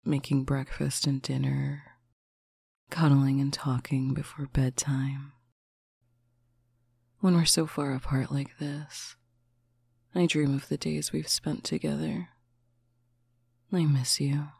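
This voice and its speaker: Soft gravelly whisper-close voice. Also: medium pitch, level tone, takes it slowly, pauses often, no echo. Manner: soft, affectionate, often whispered. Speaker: long-distance partner on a bedtime call. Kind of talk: intimate roleplay monologue to the listener.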